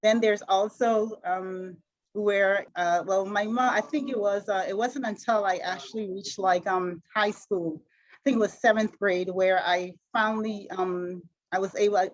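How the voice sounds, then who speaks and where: Halting Bahamian woman, lo-fi sound. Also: mid-range voice, steady tone. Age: middle-aged. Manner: halting, many fillers and restarts. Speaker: interview guest, retired sprinter. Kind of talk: casual interview answers.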